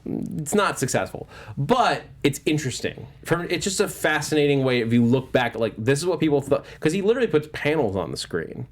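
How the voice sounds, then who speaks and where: A man's voice: a little high, big pitch swings, a little fast. A man talking casually as a panelist on a movie talk show, giving opinionated, analytical takes in a fan discussion.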